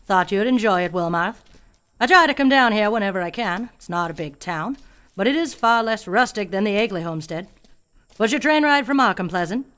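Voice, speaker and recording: A friendly but guarded country correspondent played by a woman in a radio play. Her voice is crisp and smooth at a medium pitch. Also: animated, a little fast, a bit bright, lightly acted.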